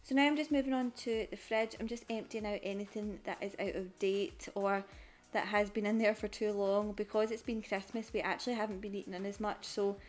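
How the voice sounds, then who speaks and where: Young woman chatting thru her cleaning vlog, voice-over, soft music behind. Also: mid-range voice. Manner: chatty, matter-of-fact. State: pregnant. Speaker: cleaning vlogger. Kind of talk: voice-over narration.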